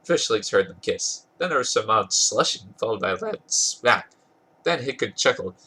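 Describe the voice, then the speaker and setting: A man's voice: on the low side, expressive, normal speed, bright, forceful tone. A man with a congested voice doing an amused dramatic reading of a comic story, stumbling over words now and then and correcting himself. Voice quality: husky, congested. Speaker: podcast host reading fan fiction aloud.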